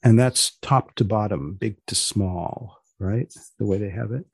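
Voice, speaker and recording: Gravelly, husky man hosting, deadpan. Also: fairly low pitch, no echo. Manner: dry, deliberate, mock-formal phrasing. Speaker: podcast host. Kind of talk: deadpan comic science podcast hosting.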